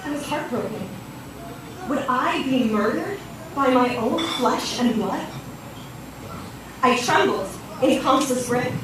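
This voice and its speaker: A woman playing a grieving queen who tells of her brother's cruelty in a solemn, measured stage monologue. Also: high voice. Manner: solemn and measured, building in intensity. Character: grieving young queen Devaki recounting her suffering. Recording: very echoey hall from far off, noise.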